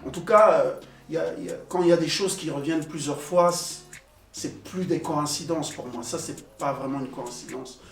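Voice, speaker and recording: A young man who grew up in Belgium, telling his own experiences in a relaxed group talk with many fillers and pauses. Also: fairly high pitch. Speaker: panel guest. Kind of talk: casual conversation. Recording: echoey room, distant mic, soft music.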